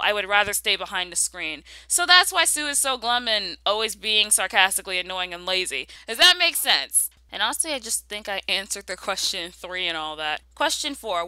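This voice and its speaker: A woman's voice: medium pitch, sharp tone, clean tone, no room sound, low-quality recording. A woman hosting a casual question-and-answer voice-over, with a blunt, sassy manner that flips between sweet and glum. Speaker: YouTuber host answering viewer questions.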